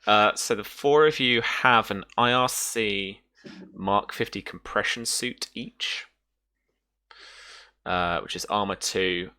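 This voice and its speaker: A low-pitched man with a bright, forward voice runs a tabletop roleplaying game. He explains rules and scenes, often pausing to work out his wording. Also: clear, resonant tone. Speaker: game master.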